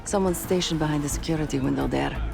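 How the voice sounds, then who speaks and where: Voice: mid-range voice, fast talker, creaky, over music. An actor playing a confident saboteur spy with a dry, sly, understated manner in a game cutscene. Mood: cool, calculating. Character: cool, confident saboteur spy, slyly amused. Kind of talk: video game cutscene acting.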